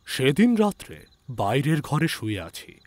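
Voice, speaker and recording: A man playing a village physician who recounts an eerie ghostly encounter in a measured, suspenseful audiobook narration. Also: medium pitch, huge pitch swings. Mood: suspenseful, uneasy. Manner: measured, suspenseful delivery with hushed drops and emphatic questions. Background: background music.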